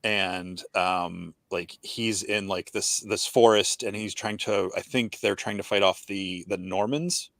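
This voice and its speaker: A man hosting a podcast who asks questions in a halting, filler-heavy way. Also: low-pitched, steady tone, vocal fry, a bit bright, no room sound. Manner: hesitant, many fillers and restarts, asks questions. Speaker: podcast host. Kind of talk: casual podcast conversation.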